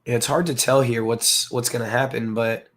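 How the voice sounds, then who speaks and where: A hoarse man from the southern United States, hosting a casual livestream and chatting with viewers. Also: medium pitch, flat delivery, normal speed, fairly bright tone. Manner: rambling, filler-heavy, greets chat viewers. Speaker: crypto livestream host.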